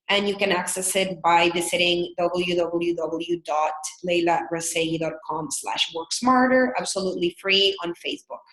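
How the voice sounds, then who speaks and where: A woman's voice: fairly low pitch, a bit bright, echoey room, poor audio. A woman in her thirties from El Salvador with a Salvadoran Spanish accent, presenting a business webinar in an earnest, candid way with frequent fillers. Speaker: business consultant.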